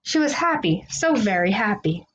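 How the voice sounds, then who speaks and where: A woman reading a children's storybook aloud, with expressive, deliberate phrasing. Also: medium pitch, rough recording. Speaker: storybook reader.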